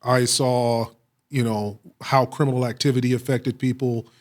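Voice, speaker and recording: A middle-aged American man from Texas answering interview questions with personal stories, speaking reflectively with frequent pauses and repeated phrases. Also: medium pitch, little pitch movement. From Waco, Texas, United States. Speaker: police chief, interview guest.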